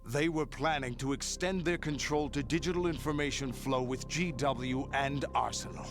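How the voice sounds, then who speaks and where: A man playing a commanding rebel-leader villain in a video game, speaking with measured, dramatic authority. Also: mid-range voice, lightly acted. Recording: music behind the voice.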